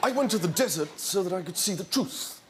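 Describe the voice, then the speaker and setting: An actor playing silly, oddball British princes who introduce themselves in a comic parody sketch. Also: male voice, high voice, animated, fairly quick. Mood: silly earnest. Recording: noisy live stage with crowd.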